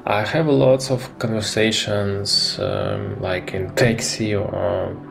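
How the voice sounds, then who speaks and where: A man's voice: fairly low pitch, expressive, takes it slowly, vocal fry, fairly bright tone, faint music behind. A man from Russia with a Russian accent, speaking hesitantly in a conversational interview and repeating words for emphasis.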